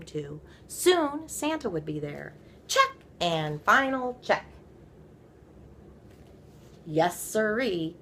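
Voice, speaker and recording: A woman reading a children's story aloud, with playful, theatrical emphasis and drawn-out words. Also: medium pitch, pushed and bright, a little hiss or hum. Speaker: storybook reader. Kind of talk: animated children's read-aloud.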